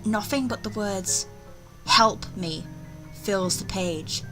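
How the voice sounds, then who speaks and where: A woman's voice: mid-range voice, slow pace, low-quality recording. A woman hosting a gaming video, reading a story aloud theatrically with casual commentary. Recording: faint music behind.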